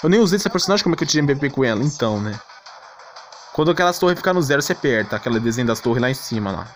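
Fast-talking Brazilian man streaming a game. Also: medium pitch, animated. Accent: Brazilian Portuguese. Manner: slangy, mildly grumbling. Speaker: gaming streamer. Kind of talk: casual live game commentary. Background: game music.

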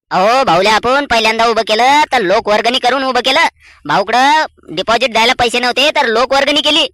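A man voicing a skeptical, sharp-tongued cartoon village father, sarcastic and folksy, with a rural Maharashtrian accent. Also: very high-pitched, low-quality recording. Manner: sarcastic, folksy, repeats words for emphasis. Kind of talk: cartoon comedy voice acting.

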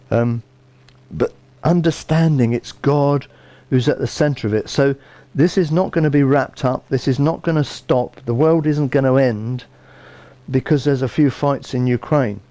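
A man's voice: medium pitch, dark tone, no room sound. A man in his fifties with a British accent, preaching a sermon in an earnest, conversational way. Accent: British English. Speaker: bishop preaching. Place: small indoor room by a window.

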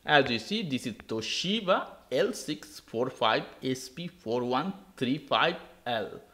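A man's voice: medium pitch, animated, slow, fairly bright tone, echoey room. A man hosting a tech tutorial, walking through a laptop reset step by step in simple, non-native English. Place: indoor desk.

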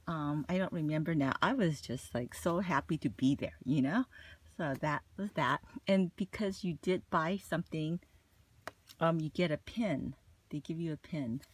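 A woman's voice: low-pitched, moderate pace, husky. A woman vlogging casually about her shopping haul, chatty and gushing, with plenty of "like" and "you know". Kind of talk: casual haul vlog chat.

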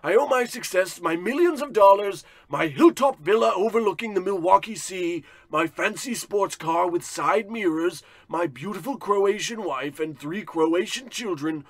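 Pompous male business magnate for a radio comedy: high, husky, wildly swooping, self-important bluster. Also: animated, normal speed, few pauses, no echo. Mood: bragging. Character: pompous boastful male industrial tycoon, bullying boss. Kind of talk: parody of old radio drama acting.

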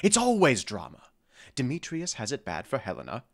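A man's voice: mid-range voice, wildly swooping pitch, brisk, creaky. A man playing an impatient fairy king. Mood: exasperated. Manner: exasperated, commanding. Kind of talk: audio drama acting.

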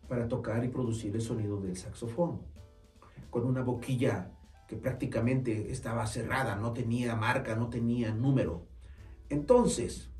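A man's voice: mid-range voice, a little mellow. Earnest Mexican man in an echoey room. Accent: Mexican Spanish. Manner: earnest, friendly, with hesitant fillers and restarts. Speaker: saxophone teacher and music store owner. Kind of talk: informal instructional talk to camera. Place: small indoor studio corner in a shop. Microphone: far camera mic. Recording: roomy, distant camera sound, faint music.

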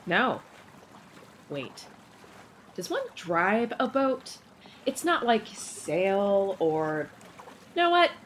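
A woman's voice: medium pitch, big pitch swings, drawn-out words, long pauses, slight background noise. A woman playing a sassy, stubborn young female archer sidekick with dry, snarky delivery. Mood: dry, insistent. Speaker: voice actor in a fan motion comic. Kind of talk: comic-book dialogue acting.

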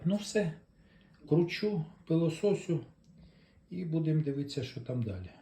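A man's voice: fairly high pitch, very animated, normal speed, dark tone. A man giving casual hands-on commentary, chatting to viewers and thinking aloud as he works. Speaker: host of a tape recorder restoration video.

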